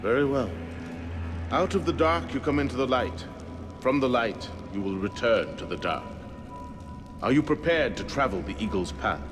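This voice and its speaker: Actor as solemn secret-order leader, cavernous reverb. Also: male voice, mid-range voice, expressive, moderate pace, long pauses, lightly acted. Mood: ceremonial. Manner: formal, ritual oratory. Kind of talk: dramatic video game acting. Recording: long hall reverb, music behind.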